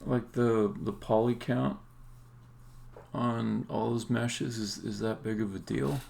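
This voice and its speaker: A man thinking aloud in a halting, casual way as he live-streams his game development work, often muttering to himself. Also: mid-range voice, fairly even intonation, gravelly, darkish tone.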